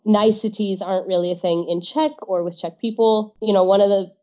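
Woman, casual chatter, frequent laughs, trails off, muffled low-fi audio. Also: brisk, mellow. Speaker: guest; English teacher. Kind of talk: casual podcast chat. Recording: dull lo-fi audio.

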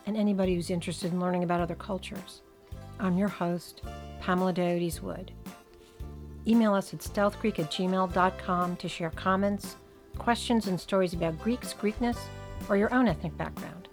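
A woman's voice: on the low side, steady tone, moderate pace, faint music behind. A woman with a New Jersey accent hosting a podcast, giving scripted narration with wry, dry asides. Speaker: podcast host.

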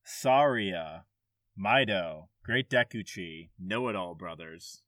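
A man hosting a casual gaming podcast quiz, reading questions and answers aloud in a somewhat halting way. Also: low-pitched, expressive, fairly bright tone, no echo.

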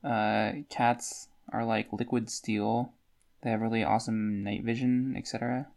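Creaky-voiced woman in casual book chat. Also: rumbling low, flat delivery, measured pace, a little mellow. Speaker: podcast co-host.

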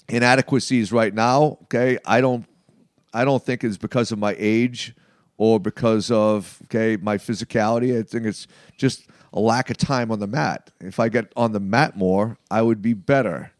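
A man's voice: medium pitch, no room sound. Man in his late fifties, dry close sound. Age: late 50s. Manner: halting, emphatic, often checks with "okay". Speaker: martial arts practitioner being interviewed. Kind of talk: conversational interview answers.

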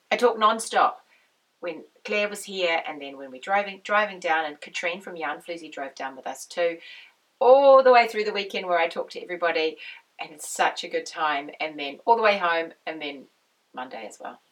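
Husky-voiced woman hosting a knitting podcast. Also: fairly low pitch, far from the mic, poor audio. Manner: rambling, friendly, with many restarts and laughs. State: husky, croaky voice. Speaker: podcast host and knitwear designer. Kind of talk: casual chatty monologue.

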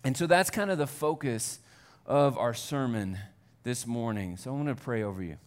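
A pastor preaching a Sunday sermon in a church, conversational and self-deprecating, building to earnest fervor. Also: male voice, mid-range voice, moderate pace.

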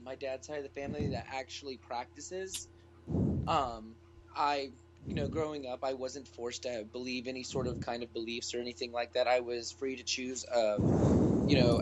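A man's voice: mid-range voice, moderate pace, heavy background noise. A man who grew up in Wales, a guest on a casual podcast, talking in rambling sentences full of restarts. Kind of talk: casual discussion.

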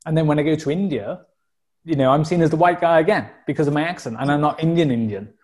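Welsh guest in his thirties, warm relaxed tone, reflective and chatty. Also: a little high, quick pace. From South Wales. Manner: open and reflective, frequent fillers and restarts. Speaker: podcast guest. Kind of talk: casual conversational interview.

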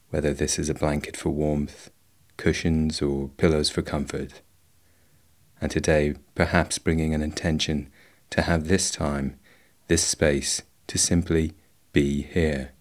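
Man, extremely low voice, guided meditation, slow measured delivery, long pauses. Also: a little mellow. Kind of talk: guided body scan meditation.

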